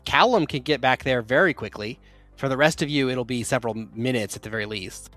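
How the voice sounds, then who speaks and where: Male game master, easygoing, mid-pitched, faint music underneath. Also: a little fast, a bit bright. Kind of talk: running a tabletop game.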